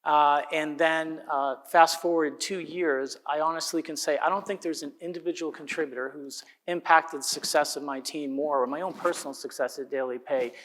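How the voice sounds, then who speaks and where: A middle-aged man with a Boston accent speaks in a fairly high voice. He tells a story as a panelist at a conference, and the large hall rings around his words. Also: fairly even intonation, moderate pace, hardly any pauses, fairly bright tone. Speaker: conference panelist, corporate executive. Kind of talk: storytelling to a live audience. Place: indoor conference hall, on stage.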